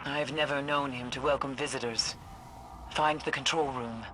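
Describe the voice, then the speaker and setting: An actor playing a small, earnest AI companion in a video game, giving terse, urgent guidance. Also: male voice, mid-range voice, fairly even intonation, moderate pace, noisy background, natural voice. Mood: wary. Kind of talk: video game mission dialogue. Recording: loud game music over voice.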